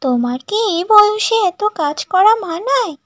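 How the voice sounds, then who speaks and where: A meddling young village housewife, voiced by a woman, talks in an extremely high, almost childlike pitch. She gossips and taunts in exaggerated cartoon style, recorded close and dry. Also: very animated, normal speed, no echo. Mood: taunting. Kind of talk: cartoon dialogue.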